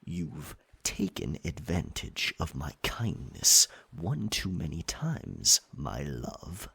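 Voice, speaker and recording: A man playing a possessive, roguish pirate captain in an ASMR roleplay, theatrical, teasing and dominant, with a husky, breathy voice. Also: extremely low pitch, wildly swooping pitch, slow, sharp tone, heavily acted. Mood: suspicious, stern.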